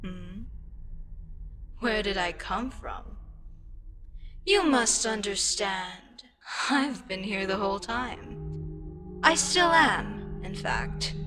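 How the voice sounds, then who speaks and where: A woman playing a weary, irritable ancient eldritch deity in a fantasy ASMR audio roleplay, sardonic and theatrical, with deliberate pauses. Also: mid-range voice, lively intonation, heavily acted. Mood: calmer, composed. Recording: echoing long reverb with loud music. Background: loud music.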